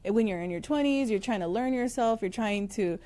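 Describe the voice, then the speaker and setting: A woman in her thirties hosting a podcast interview, relaxed and candid, backing her guest with steady murmurs of agreement. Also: on the high side, brisk. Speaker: podcast host.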